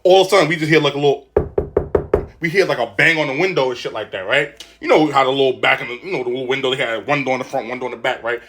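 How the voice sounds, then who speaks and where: A man telling a story in casual, slangy, animated style, often checking in with the listener. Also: medium pitch, racing, noisy background.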